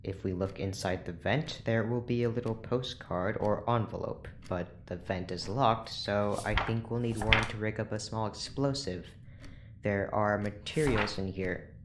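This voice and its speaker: A low-pitched, irritable male scientist boss played by an actor in an audio drama. He is weary and curt, with a dry sarcastic edge, over noticeable noise. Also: moderate pace, close to an everyday voice. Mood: weary, exasperated.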